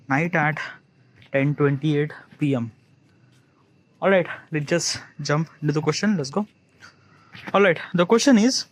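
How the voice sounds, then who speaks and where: A man's voice: on the high side, no echo, low-quality recording. Hesitant man thinking aloud. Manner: hesitant, rambling, heavy on fillers and restarts. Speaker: content creator. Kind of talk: solo spoken diary, thinking aloud.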